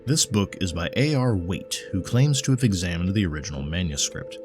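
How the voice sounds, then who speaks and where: Bookish occult scholar for audio drama, performed by a male narrator: pedantic, earnest, slightly exasperated, lifted above a very low natural pitch, music underneath. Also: big pitch swings. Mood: intent, precise. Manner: measured, pedantic, explanatory.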